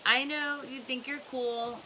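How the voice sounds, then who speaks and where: A woman's voice: high voice, fairly even intonation, slow, bright, forceful tone, voice pushed up, exaggerated voice, rough recording. A woman voicing an earnest, preachy male camp counselor from a storybook in a pointed, lecturing way, over hiss. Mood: stern, lecturing. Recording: clear background hiss.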